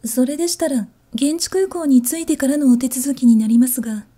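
A predatory chief flight attendant, acted by a woman for an ASMR audio drama. The voice is mellow and dropped lower, often close to a whisper. Every courteous phrase carries sly, sadistic menace. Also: breathy edge. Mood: cool, courteous. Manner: hushed, slow menace under courteous speech. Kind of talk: Japanese ASMR situation voice drama.

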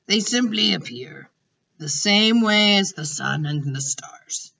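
Storybook giant, ancient, gentle, proud, childlike played by a woman; forceful, drawn-out, garbled grammar, slightly dull distant recording. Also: medium pitch, animated, creaky, slightly rough, exaggerated voice. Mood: matter-of-fact. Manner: mangled grammar and invented words.

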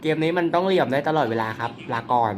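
A young man commentating his own mobile werewolf game in a chatty, excitable way, polite but slipping into laughter. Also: fairly high pitch, fast talker, background noise. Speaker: gaming YouTuber.

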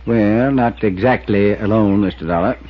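A man's voice: on the low side, a little hiss or hum, close to an everyday voice. An actor playing a plainspoken, wry small-town lawman with a folksy rural American accent in a 1950s radio drama. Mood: matter-of-fact. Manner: folksy, unhurried, colloquial. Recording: dull lo-fi 1950s radio recording.

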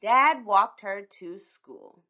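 A woman's voice: medium pitch, expressive, slow pace, lo-fi sound. A woman reading a children's picture book aloud as a scripted storyteller.

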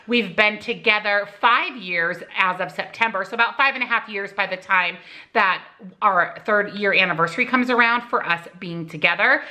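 Bright-voiced woman in her forties chatting. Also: mid-range voice. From United States. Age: 40s. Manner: friendly and talkative while applying makeup. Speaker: YouTuber and real estate agent. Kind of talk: casual chatty makeup tutorial and Q&A. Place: home kitchen indoors. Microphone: camera on a tripod close in front.

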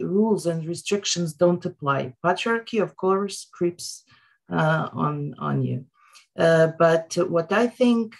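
Woman, 30s, Serbian accent. Lowish voice, very slow and halting, thinking aloud as a panelist. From Serbia, born in Yugoslavia. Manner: halting, reflective, with frequent hesitations. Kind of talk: informal panel remarks. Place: indoor room at home, video call. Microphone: wired headset on a video call.

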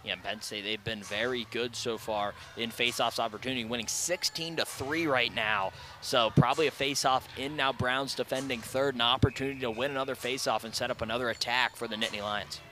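A man giving relaxed, analytical hockey color commentary from an arena booth on a headset mic, often opening with "yeah". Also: mid-range voice, a little fast, hardly any pauses, slight background noise.

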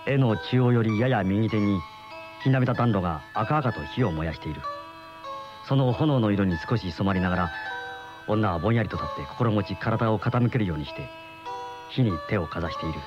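A man's voice: on the low side, lightly acted. An actor playing a pensive man in his thirties with a secret obsession, in a radio drama. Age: 30s. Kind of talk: radio drama acting with first-person narration. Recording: dull lo-fi old radio recording, music behind.

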